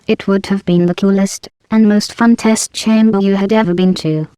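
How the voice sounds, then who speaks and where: Game AI, female, played by a woman: smooth, crisp, dry studio sound, deadpan and formal, icily sarcastic. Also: medium pitch, normal speed, dry recording, close to an everyday voice. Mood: passive-aggressive. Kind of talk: scripted video game voice lines.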